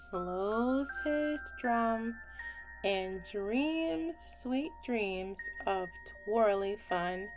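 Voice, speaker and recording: A woman reading a children's picture book aloud as a bedtime story, expressive and gentle, with deliberate phrasing. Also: fairly high pitch.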